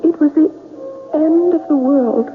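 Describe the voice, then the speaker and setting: High-voiced, sorrowful young woman. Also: a little slow, over music. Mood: mournful, tender. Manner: plaintive, hesitant, breaking phrases. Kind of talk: 1970s radio drama acting. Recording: tinny 1970s radio broadcast.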